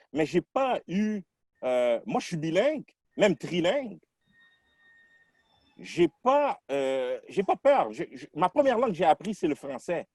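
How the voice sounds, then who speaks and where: A man from Quebec talking through his identity in a casual podcast discussion, reflective and halting, restarting his sentences often. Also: a little high, darkish tone, no room sound, clean studio sound.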